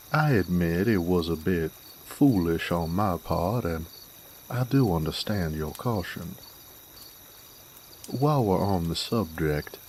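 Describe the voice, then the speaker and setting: A man playing a genial, smooth-talking cowboy drifter with an American Western drawl, courteous and wordy in an audio roleplay. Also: sits low, a little mellow, slight background noise. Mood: cautious, placating. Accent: American Southern/Western drawl. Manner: folksy, courteous, wordy, unhurried. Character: smooth-talking male werewolf bounty hunter drifter, genial Western wanderer. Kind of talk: Western audio roleplay, acted monologue to listener.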